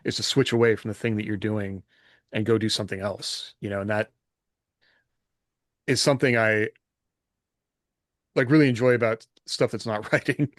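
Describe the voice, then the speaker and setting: A man's voice: lowish voice, fairly even intonation, moderate pace, long pauses, dry recording, clean studio sound. A middle-aged man talking casually as a podcast host in a reflective, loosely rambling way.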